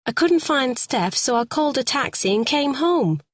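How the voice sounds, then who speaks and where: A tearful woman begs to be believed, her voice climbing high and wavering, as a woman acts her out. It comes across dry and close, read aloud as part of a storybook. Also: expressive, normal speed, no room sound. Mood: pleading. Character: distraught battered woman, pleading and tearful.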